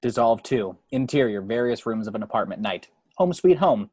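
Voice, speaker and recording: A man with a mid-pitched voice narrates the action of a screenplay. He reads scene headings and descriptions as scripted narration. The sound is close and dry, without room echo. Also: animated, normal speed, darkish tone, husky.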